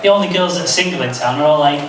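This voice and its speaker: A man in his thirties telling a self-deprecating stand-up story on stage, stumbling and restarting as he goes. His slightly high voice comes from a mic some way off, and the club around him rings with loud echo and heavy noise. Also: very fast, far from the mic, a crowd in the background. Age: 30s. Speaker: stand-up comedian. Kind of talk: stand-up comedy set. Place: comedy club. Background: audience laughter.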